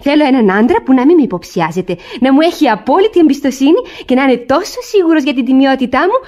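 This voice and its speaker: An actress playing a saucy, sharp-witted young maidservant in a classic radio theatre comedy, pert and teasing. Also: female voice, high voice, lively intonation.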